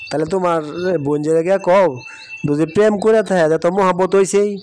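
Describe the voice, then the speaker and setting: A man's voice: high-pitched, fairly quick, darkish tone, slight background noise, lightly acted. A man playing a stubborn, sceptical village uncle in a cartoon, with an eastern Bangladeshi accent and an argumentative, emphatic way of talking. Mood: firm, advising. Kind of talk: cartoon voice acting. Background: music.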